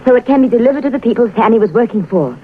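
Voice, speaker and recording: An actress playing an anxious, evasive widow with a secret scheme, pleading in earnest 1940s radio melodrama. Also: female voice, fairly high pitch, lively intonation, racing, close to an everyday voice. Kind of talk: 1940s radio drama acting. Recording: dull lo-fi old radio recording.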